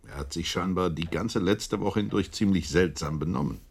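Tiefer, rauer viktorianischer Doktor. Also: male voice, low-pitched, normal speed, vocal fry, darkish tone, husky, full character voice. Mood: concerned, sceptical. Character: Holmes's loyal doctor friend, Victorian, sceptical, a little exasperated. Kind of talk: German radio play dialogue.

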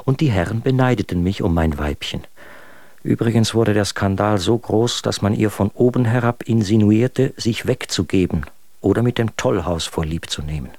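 A man's voice: lowish voice, normal speed, a little mellow, no room sound. An actor playing an exasperated, mocking male letter writer, telling his story articulately and sardonically in a German radio drama. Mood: scornful, sardonic.

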